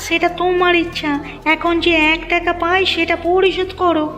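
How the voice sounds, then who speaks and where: A woman's voice: very high voice, flat delivery, moderate pace, a bit bright, big-room sound. A woman voicing a quarrelsome, stingy village fishmonger in a cartoon, pushy and threatening. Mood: demanding, threatening. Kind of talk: cartoon dialogue acting. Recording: muffled narrow-band audio with music.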